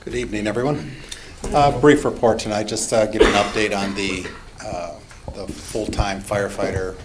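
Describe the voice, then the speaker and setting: A man's voice: on the low side, steady tone, moderate pace, background noise. A man, the fire chief, giving a brief report at a meeting, hesitating with uhs.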